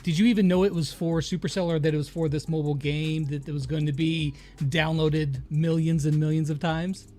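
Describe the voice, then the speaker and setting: A man's voice: on the high side, steady tone, brisk, hardly any pauses, darkish tone, faint music behind. A man hosting a casual, conversational interview. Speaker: interview host.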